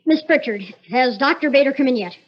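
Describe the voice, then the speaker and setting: High-voiced, desperate little scientist. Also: male voice, a little fast. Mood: urgent, demanding. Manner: insistent, agitated, pleading. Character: desperate, demanding shrinking male scientist on the telephone. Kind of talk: 1950s radio drama acting. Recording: slightly dull old radio recording.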